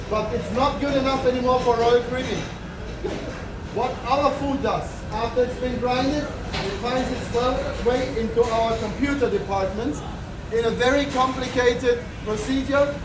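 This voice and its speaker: Man, tour guide, loud carrying voice, chatty and joking, echoey galley taped from across the room. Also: extremely high pitch, unhurried. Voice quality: loud, projecting voice. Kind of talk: guided tour talk to a group. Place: large ship kitchen, indoors. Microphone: far camera in the crowd. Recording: echoey kitchen from far off with loud background noise.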